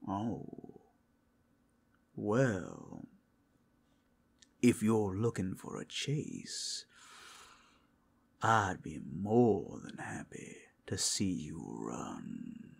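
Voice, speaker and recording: ASMR roleplay werewolf man performing: flirtatious predator, slow teasing drawl, raspy low register. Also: huge pitch swings, heavily acted. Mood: sly, welcoming. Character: suave, predatory male werewolf companion at a fantasy brothel.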